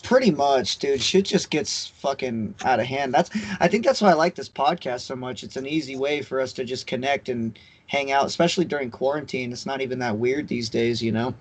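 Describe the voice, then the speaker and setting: A man's voice: mid-range voice, fairly quick, few pauses, breathy edge. A man co-hosting a remote podcast in casual chat, laid-back and swearing often. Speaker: podcast co-host. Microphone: remote call mic.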